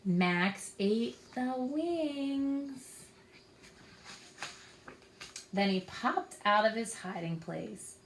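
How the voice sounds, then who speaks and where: A woman's voice: on the high side, lively intonation, extremely slow, long pauses, slight background noise. A woman reading a story aloud expressively, as if to young children. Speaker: storytime reader. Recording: roomy echo.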